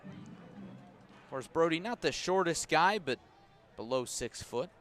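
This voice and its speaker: Male baseball radio announcer, slightly high-pitched voice, descriptive pitch-by-pitch calling. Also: takes it slowly. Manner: descriptive, storytelling between pitches, rising to excited calls on big plays. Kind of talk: live radio baseball commentary.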